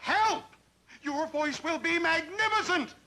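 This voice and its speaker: An actor playing a middle-aged, flamboyant opera-singing uncle who talks in a grandiose, theatrical way and bursts into aria snatches. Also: male voice, very high voice, slow pace, sharp tone, exaggerated voice. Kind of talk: 1960s sitcom acting.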